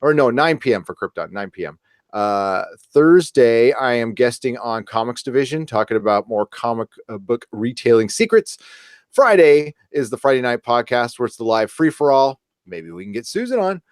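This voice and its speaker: A man's voice: mid-range voice, big pitch swings, moderate pace, dry recording, studio quality. A man hosting a live podcast in casual chat, rambling and joking, with some swearing. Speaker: podcast host.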